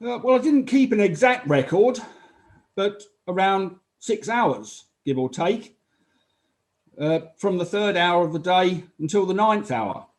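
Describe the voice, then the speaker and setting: A man's voice: high voice, animated, unhurried. A man acting as a matter-of-fact Roman army officer who gives unemotional, explanatory testimony in a dramatized inquiry.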